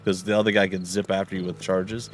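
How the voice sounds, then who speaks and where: Man, low-pitched, relaxed explainer on a gaming stream. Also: fast talker. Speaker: streamer guiding a co-host. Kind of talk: casual gaming chat.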